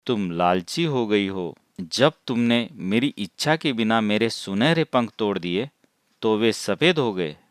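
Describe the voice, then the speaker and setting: A man's voice: fairly low pitch, lively intonation, measured pace, smooth, dry recording, clean studio sound. A man voicing a magical parrot, generous but stern, in a cartoon bedtime story. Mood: stern, reproachful.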